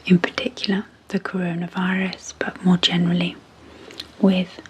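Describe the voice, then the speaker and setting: A woman speaking softly and breathily in a gentle, measured ASMR sleep hypnosis style, leaving long pauses between short phrases. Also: on the low side, completely flat delivery, a little hiss or hum, low-quality recording. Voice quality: soft, breathy, near-whispered. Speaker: psychologist hosting an ASMR channel. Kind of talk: soft-spoken ASMR sleep hypnosis introduction.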